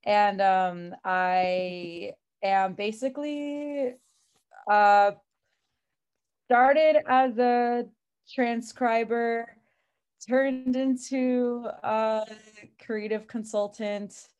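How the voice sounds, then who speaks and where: A woman's voice: fairly high pitch, drawn-out words, pauses often, a little mellow, smooth. A woman from the Chicago area introducing herself as a project's creative consultant, chatty and laughing with many fillers. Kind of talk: casual group introduction.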